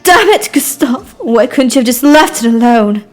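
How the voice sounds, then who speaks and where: A woman voicing an outraged, anguished young woman in melodramatic amateur drama, shrill and emphatic. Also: animated, normal speed, faint music behind, close to an everyday voice. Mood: furious, anguished. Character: furious, anguished young woman screaming at her sister.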